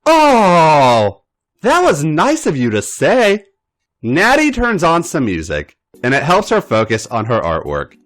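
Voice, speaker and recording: A man narrating a story aloud as a podcast host, with an expressive reading style. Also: medium pitch.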